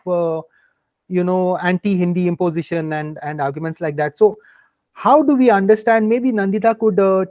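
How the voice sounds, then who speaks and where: A man's voice: high-pitched, darkish tone, no echo. A man, a guest on a panel, reflective and rambling, with lots of "you know" fillers. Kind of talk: casual panel talk. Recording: dull, dry remote audio.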